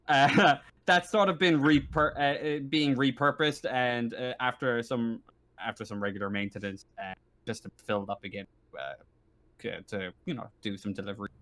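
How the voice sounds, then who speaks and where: A man's voice: medium pitch, steady tone, moderate pace, fairly bright tone. A man running a tabletop game as game master, improvising his narration and often searching for his words.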